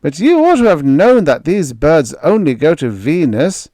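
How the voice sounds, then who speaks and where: A man reading an audiobook, voicing a big, hearty spaceman in his forties with a booming, blunt, slangy way of talking. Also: sing-song, unhurried, dark tone, no room sound, studio quality. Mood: matter-of-fact. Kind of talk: audiobook character voice.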